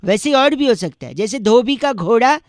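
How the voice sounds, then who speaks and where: A man's voice: extremely high pitch, lively intonation, normal speed, no room sound. A man making comic prank calls in a mock-polite, teasing and theatrical manner. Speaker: prank caller. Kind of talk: comic prank phone call.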